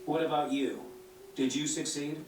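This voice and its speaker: A man's voice: a little high, fairly even intonation, normal speed, lightly acted. Man acting an eloquent bard over music. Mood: concerned, wry. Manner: eloquent, dramatic, storyteller's phrasing. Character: theatrical male bard, wry, eloquent loyal friend. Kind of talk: video game dialogue and storytelling narration. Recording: roomy game playback, distant, music underneath.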